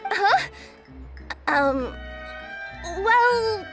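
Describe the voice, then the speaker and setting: A woman's voice: very high-pitched, animated, drawn-out words, a bit bright, exaggerated voice. A woman voicing a young female yokai courier in a video game, earnest and easily flustered, with a hesitant manner. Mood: sheepish. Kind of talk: video game character acting. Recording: faint music behind the voice.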